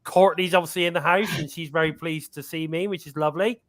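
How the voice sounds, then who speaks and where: A man's voice: fairly high pitch, fairly even intonation, a bit bright. A man hosting a live-stream talk show, chatty and upbeat, with a lingering cough. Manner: upbeat, chatty, drawn-out fillers. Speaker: podcast host. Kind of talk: casual live-stream chat.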